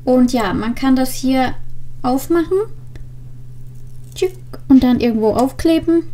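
A young woman hosts a casual video at a desk microphone. Her voice is high and she takes her time, with a slow, informal delivery. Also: dark tone. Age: young adult. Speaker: video host. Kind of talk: casual vlog with story reading. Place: indoors, small room with green screen. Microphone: desk microphone with pop filter.

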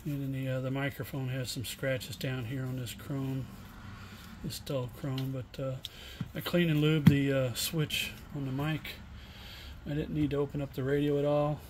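A middle-aged man giving a casual, unscripted product demonstration in a plainspoken, halting way. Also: medium pitch, flat delivery. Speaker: online seller demonstrating used radio equipment. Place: indoor home workshop bench. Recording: room noise, homemade camera recording.

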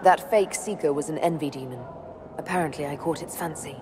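A woman's voice: low-pitched, fairly quick, noisy background, close to an everyday voice. An actress playing a composed, determined young female elf hero in a video game. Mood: calm, wry.

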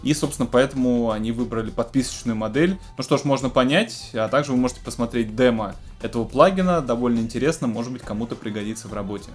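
Male host, thirties, mid-pitched, conversational explainer of music tech news, music underneath. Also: fast talker, few pauses. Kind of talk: casual news presenting to camera. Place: small home music studio, indoors.

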